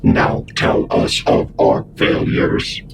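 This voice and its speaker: A man playing a cold, ruthless Cyberman controller in a sci-fi audio drama, giving halting, imperious commands through robotic voice processing. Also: slight background noise. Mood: cold, commanding.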